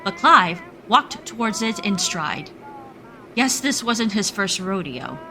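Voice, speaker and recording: A woman's voice: medium pitch, expressive, slow, sharp tone, a little hiss or hum. A woman narrator reading scripted storytelling narration for a radio play.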